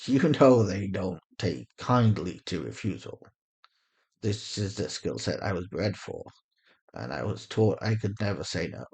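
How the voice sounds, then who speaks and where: A performer voicing a weary, resigned male interrogator with quiet menace, speaking in a measured, understated way in an audio drama. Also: deep voice, expressive, vocal fry, slightly rough, full character voice. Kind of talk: acted audio fiction.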